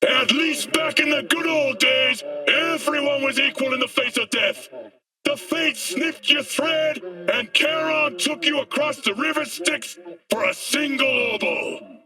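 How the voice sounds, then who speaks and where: An actor playing a fiery dystopian street preacher in a video game, ranting in an impassioned, declamatory way. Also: male voice, very high-pitched, unhurried, sharp tone, far from the mic, faint music behind, full character voice, low-quality recording. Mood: bitter, preaching. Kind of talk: dramatic video game monologue.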